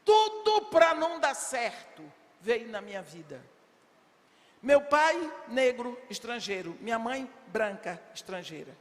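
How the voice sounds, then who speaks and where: An older woman preaching a sermon to a women's congress, emphatic, with rhetorical questions and bursts of shouting. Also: a little high, very animated, slow. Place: large church hall. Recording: long reverb of a big hall.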